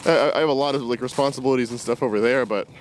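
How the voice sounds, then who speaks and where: A man's voice: mid-range voice, steady tone, very fast, slight background noise. A man in his twenties talking casually and reflectively to camera, rambling and hesitating as he walks outdoors. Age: 20s. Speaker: vlogger. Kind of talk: casual unscripted talk to camera. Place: outdoors, walking in woods. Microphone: camera carried while walking.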